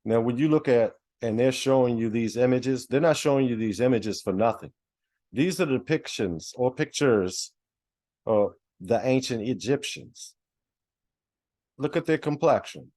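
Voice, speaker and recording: A man speaks in a slow, emphatic preaching manner, repeating key lines to drive them home. His voice is warm and mellow, recorded close and dry with little room sound. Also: medium pitch, dry recording. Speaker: religious video host. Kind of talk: preaching commentary over clips.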